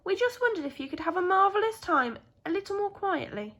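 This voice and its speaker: Woman reading as a genial park keeper, very lively. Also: extremely high pitch, brisk, pitched above the performer's own voice, full character voice. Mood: genial, placating. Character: kindly male park keeper, good-natured and mildly puzzled.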